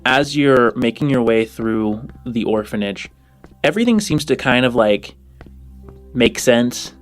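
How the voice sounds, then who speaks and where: A man's voice: medium pitch, expressive, unhurried. A man improvising narration as game master of a tabletop role-playing game. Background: music.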